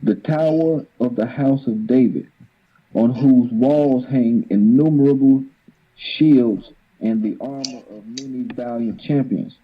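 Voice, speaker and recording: A man's voice: mid-range voice, takes it slowly, husky. A man teaching scripture informally, moving between reading verses aloud and casual explanation. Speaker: Bible teacher. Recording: thin phone-like audio with noise.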